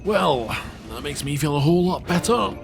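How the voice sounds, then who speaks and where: A man's voice: fairly high pitch, animated, moderate pace, gravelly. A man playing a sardonic, grumbling starship crewman in a sci-fi audio drama. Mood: sarcastic. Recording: music under the dialogue.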